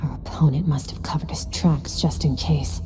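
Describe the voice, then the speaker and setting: An actress in a video game playing an aloof, coolly commanding woman warrior mentor, detached and measured. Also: low-pitched, steady tone, a little mellow, in a whisper, soft background music, close to an everyday voice. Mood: analytical, composed.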